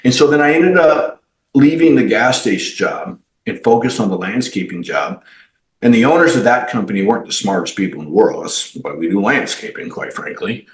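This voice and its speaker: A man, a company CEO, telling his life story as a podcast guest in an animated way, with many fillers and restarts. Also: lowish voice, a little fast. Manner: animated, acts out quoted dialogue, frequent fillers and restarts. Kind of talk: personal storytelling in a podcast interview. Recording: roomy echo.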